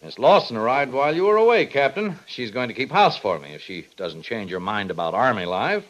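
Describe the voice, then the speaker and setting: Animated army major, old radio sound. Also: male voice, mid-range voice. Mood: genial. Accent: American. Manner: authoritative, measured, military. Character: firm, by-the-book army major, avuncular older officer. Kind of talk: 1950s radio western acting. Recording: dull lo-fi 1950s radio recording.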